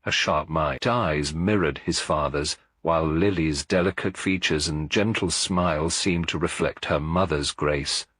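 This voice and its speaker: A man narrating a scripted mystery story in a measured, literary storytelling manner. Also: very deep voice, fairly bright tone, breathy edge, dry recording. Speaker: audiobook narrator.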